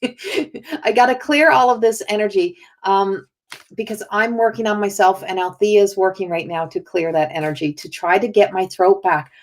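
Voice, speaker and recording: A woman's voice: medium pitch, normal speed. A woman talks through a casual livestream, her voice strained and worn. She rambles in a chatty way, greeting viewers by name as she reads oracle cards. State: strained, failing voice. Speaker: oracle card reader and spiritual healer.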